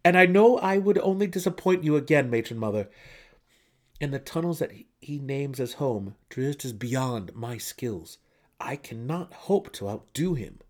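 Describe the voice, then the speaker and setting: A nervous young son, stammering and deferential, pleading his case to a feared mother, as a male narrator voices him. His voice is pitched well above a plain male reading voice and strains with worry. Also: animated, moderate pace, natural voice. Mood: anxious.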